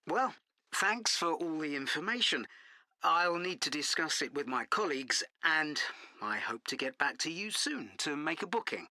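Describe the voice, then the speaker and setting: A woman's voice: low voice, expressive, moderate pace, sharp tone, no echo, exaggerated voice. A woman acting a polite, appreciative customer making an enquiry in a scripted dialogue.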